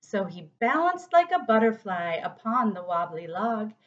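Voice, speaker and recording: Unhurried American woman reading a storybook. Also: medium pitch, very animated. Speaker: city council member reading to children. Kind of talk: expressive children's storybook read-aloud. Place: home office, indoors. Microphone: computer microphone at desk distance. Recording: slightly dull webcam audio.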